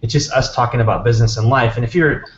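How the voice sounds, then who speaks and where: A man's voice: medium pitch, fast talker. A man giving informal, encouraging advice as a guest in a casual conversation. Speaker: interview guest, app maker. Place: office. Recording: echoey, muffled, noisy office video call.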